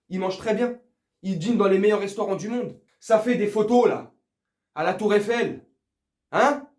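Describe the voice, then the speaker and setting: Male football vlogger, high voice, crude confrontational banter, roomy echo. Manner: crude, slangy, teasing, confrontational. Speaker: football fan video host. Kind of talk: casual ranting commentary to viewers. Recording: echoey room.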